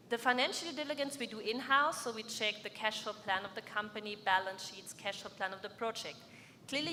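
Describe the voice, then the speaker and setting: Bright-voiced woman on a conference panel. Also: fairly high pitch. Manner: earnest, explanatory, with hand gestures. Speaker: crowdfunding platform panelist. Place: large conference hall on stage. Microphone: stand mic on a panel table. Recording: reverberant conference hall with faint background noise.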